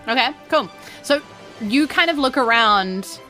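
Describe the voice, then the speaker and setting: A woman's voice: on the high side, lively intonation, unhurried, pushed and bright, soft background music, low-quality recording. A woman with a New Zealand accent, narrating a tabletop game as game master in an informal, conversational way. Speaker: game master, podcast host.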